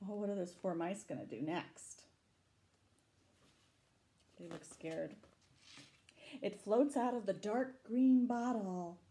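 Expressive, high-voiced middle-aged woman reading aloud. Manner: dramatic, deliberate, asks questions of young listeners. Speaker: library storytime reader. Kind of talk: children's picture book read-aloud with asides. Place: small room indoors. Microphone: camera a short distance away.

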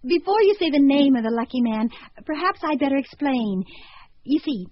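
An actress playing a whimsical, teasing bride in a radio comedy, with an arch, theatrical manner. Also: female voice, high voice, lively intonation, normal speed. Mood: coy. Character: whimsical bride Laura weighing five suitors, affectionate and teasing. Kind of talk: radio comedy acting with monologue. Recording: muffled old radio recording, music behind.

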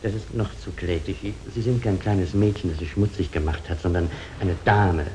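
A man's voice: deep voice, normal speed, mellow, a little hiss or hum. A man giving rehearsal directions as a radio play director, on an old 1950s film recording.

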